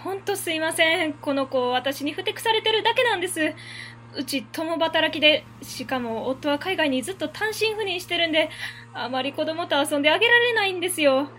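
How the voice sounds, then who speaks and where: A woman playing a working mother with a Kansai accent who nags her son in a sharp, scolding manner, in an amateur web radio drama. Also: very high-pitched, animated, brisk, slight background noise, full character voice. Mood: apologetic. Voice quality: sharp, nagging. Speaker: mother on a factory tour.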